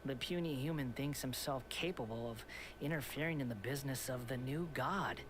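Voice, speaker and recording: A man's voice: mid-range voice, moderate pace, close to an everyday voice. A man voicing an arrogant, menacing young self-proclaimed god, haughty, mocking and theatrical, in an anime video game. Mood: mocking, amused. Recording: game audio with clear background noise.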